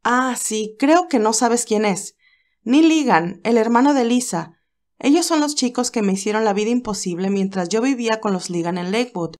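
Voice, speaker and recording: A woman doing a bright, fretful young nurse. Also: on the high side, moderate pace, close to an everyday voice. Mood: worried. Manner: lively, hesitant, girlish.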